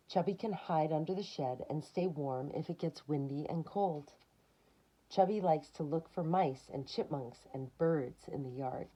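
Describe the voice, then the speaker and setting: A woman's voice: deep voice, warm tone, highs cut off. A woman reading a picture book aloud to children, expressively, with short asides of her own.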